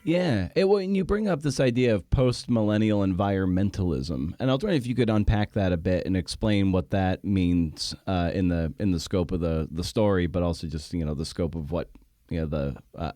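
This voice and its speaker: A man's voice: low voice, brisk, dark tone, dry recording, studio quality. A man hosting a podcast, asking questions in a casual, hesitant way that circles toward the point. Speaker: podcast host.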